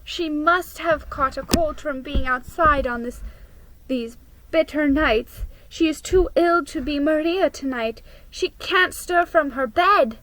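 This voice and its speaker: A woman's voice: high voice, slow, a bit bright, a little hiss or hum, voice pushed up, poor audio. A woman voicing a breathless, distressed village mother in a storybook reading.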